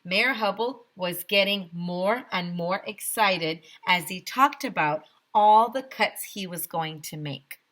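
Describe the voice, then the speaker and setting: A woman teacher reading a children's storybook aloud with expressive narration. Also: medium pitch, slow, few pauses.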